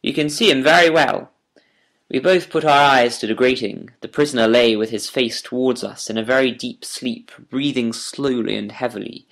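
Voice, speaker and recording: A man narrating a scripted audiobook as a storyteller, deliberate and literary in delivery. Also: medium pitch, gravelly.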